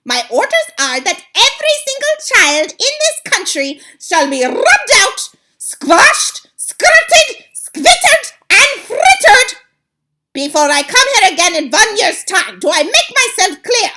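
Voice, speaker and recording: A shrieking, spitting tyrant witch queen for a storybook: piercing high voice, pure rage. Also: sing-song, extremely slow, gravelly, few pauses, pushed and bright, slight background noise, full character voice, low-quality recording. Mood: shrieking commands. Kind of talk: storybook reading.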